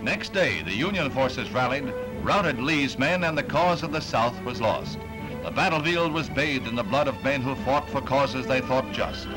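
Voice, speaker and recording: 1960s American newsreel man over loud music. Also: medium pitch, animated, moderate pace, rough recording. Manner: formal, declamatory announcer delivery. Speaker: newsreel narrator. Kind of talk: 1960s newsreel narration, scripted. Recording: 1960s film newsreel soundtrack.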